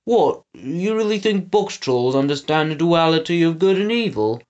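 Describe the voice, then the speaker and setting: A man's voice: a little high, lively intonation, moderate pace, a little mellow, dull audio, pitched above the performer's own voice, natural voice. A man voicing a nervous, bookish storybook henchman who is wordy, hesitant and pedantic. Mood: musing. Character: nervous, bookish, philosophizing henchman, easily exasperated.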